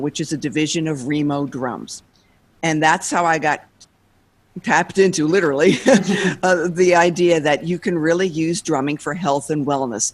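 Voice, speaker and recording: A woman being interviewed as a guest, telling her story in a lively way and laughing between thoughts. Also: deep voice. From United States.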